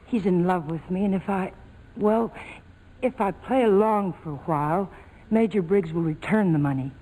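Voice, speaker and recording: A woman's voice: fairly low pitch, normal speed, mellow, close to an everyday voice, poor audio. An actress playing a scheming, world-weary young woman in 1940s radio drama style, brittle and dryly sarcastic. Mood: bargaining.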